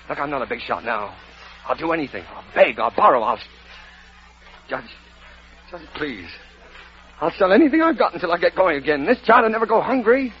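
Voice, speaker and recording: A man acting an earnest young husband and father in a vintage radio drama, speaking haltingly and with emotion. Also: high voice. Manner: halting, emotional, repeating words. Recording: muffled lo-fi old radio recording.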